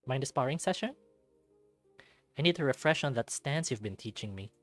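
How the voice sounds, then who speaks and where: A man's voice: mid-range voice, expressive, moderate pace, no echo, faint music behind, lightly acted. A man voicing a young male trainee fighter in a visual novel, earnest and a little hesitant.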